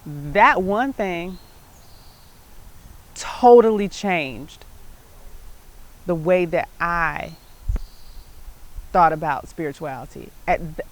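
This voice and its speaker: A middle-aged American woman hosting her show, telling personal stories about life and faith in a conversational, emphatic way. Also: fairly low pitch. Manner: conversational, emphatic, often checking in with "right?". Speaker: show host.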